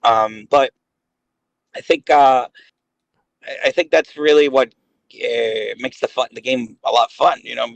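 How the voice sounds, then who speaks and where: A man's voice: mid-range voice, fairly even intonation, a little slow, creaky, long pauses, no room sound, low-quality recording. A man in his late thirties chatting casually on a voice call, rambling and hesitant with many fillers. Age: 30s. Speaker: gaming guest. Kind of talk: casual chat.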